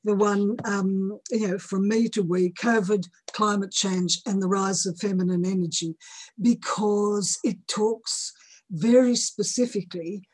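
An older woman in her seventies speaks as a guest author in a conversational interview. Her voice is husky and of middling pitch. She is emphatic and motivational, often repeating a key phrase for weight. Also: fairly even intonation, measured pace, a little mellow, no echo. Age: early seventies.

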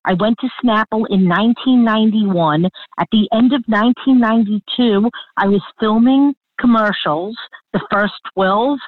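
A woman with a thick Long Island accent tells her stories as an interview guest. She is lively and laughing, with quick bursts and restarts. Her fairly high voice comes through a tinny, dry phone line. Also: dry recording. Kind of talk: animated storytelling interview.

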